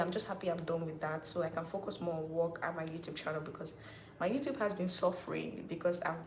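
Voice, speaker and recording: A woman's voice: on the low side, a little hiss or hum. Chatty Nigerian woman, roomy echo. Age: 20s. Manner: chatty, reads out viewer comments, says "like" often. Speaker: YouTuber hosting a live Q&A. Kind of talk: casual livestream chat.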